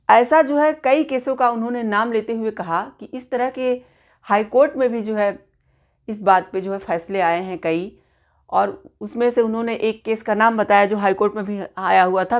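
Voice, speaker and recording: A female law teacher with a mid-range voice. She explains legal material in informal, spoken lecture style. Her manner is didactic, built on questions that she answers herself. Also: mid-range voice. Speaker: law teacher presenting an online lesson.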